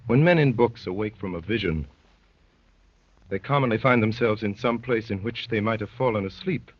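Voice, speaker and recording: An actor playing an earnest, haunted poet recounting a vision, husky, dramatic and literary, in 1930s radio drama style. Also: male voice, fairly low pitch, moderate pace. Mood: wistful, narrating. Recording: muffled, lo-fi 1930s radio recording.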